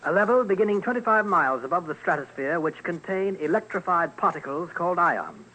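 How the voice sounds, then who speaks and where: Husky, animated male scientist. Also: high-pitched, a little fast, heavily acted, rough recording. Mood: earnest. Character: earnest scientist explaining a discovery. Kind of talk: old-time radio documentary acting.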